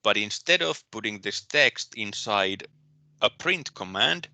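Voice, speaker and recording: A man with a Finnish accent giving a recorded programming lecture, pausing often with ums and restarting his sentences. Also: fairly low pitch, lively intonation, bright, forceful tone, no echo. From Finland. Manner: hesitant, many ums and restarts. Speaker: university lecturer.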